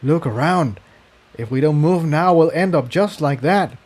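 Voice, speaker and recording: A man's voice: a little high, a little slow, a little mellow, pitched above the performer's own voice. A determined male pony from a storybook, acted by a man reading aloud. He is brave and protective, speaking with urgency and authority. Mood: firm consoling.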